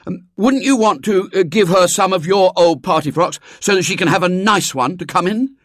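A man's voice: sits high, normal speed, dry recording, studio quality, voice pushed up. A man voicing an awkward schoolboy in a children's storybook, eager but out of his depth, hesitant and stammering as he blusters. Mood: hesitant, coaxing.

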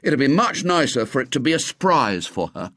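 A man's voice: mid-range voice, very animated, brisk, fairly bright tone, no echo, clean studio sound, pitched above the performer's own voice. A man voicing a brash, confident schoolboy ringleader, eager and breezy.

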